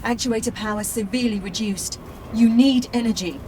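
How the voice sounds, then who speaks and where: A woman's voice: fairly high pitch, moderate pace, a little mellow, slight background noise, natural voice, rough recording. A woman voicing a loyal, composed AI assistant in a video game, polite and precise, frankly protective of the one she serves. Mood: urgent, businesslike. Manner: polite, precise, addresses boss as sir.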